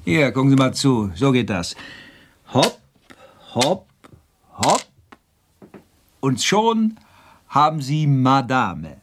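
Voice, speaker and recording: An actor in a radio play, playing a wry, persistent drinking companion who coaxes and prods in short, dry replies. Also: male voice, mid-range voice, sing-song, slow, long pauses, a little hiss or hum. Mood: probing, coaxing.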